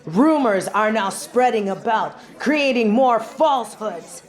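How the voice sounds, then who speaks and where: A woman's voice: fairly high pitch, lively intonation, slow pace. A woman playing a haughty, commanding angel judge in a scripted audio roleplay, speaking in a pompous, formal, theatrical manner. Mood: formal, imperious.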